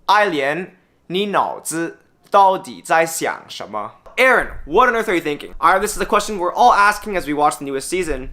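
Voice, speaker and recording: A man teaching a casual language lesson in a bright, fairly high voice. He explains each word step by step and slips in dry little jokes as he goes. Soft music plays faintly underneath. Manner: upbeat, with wry joking asides.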